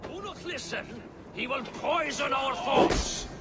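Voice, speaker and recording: An actor playing a grim, superstitious, vengeful hunter in a video game, speaking with dramatic intensity. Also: male voice, extremely high pitch, slow pace. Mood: furious. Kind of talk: video game character acting. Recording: clear background noise and ambience.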